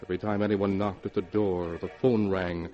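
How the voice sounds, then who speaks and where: An actor playing the grave narrator of a 1940s radio horror tale, on a muffled vintage recording with music behind. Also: male voice, sits low, little pitch movement, brisk, natural voice. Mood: grave, ominous.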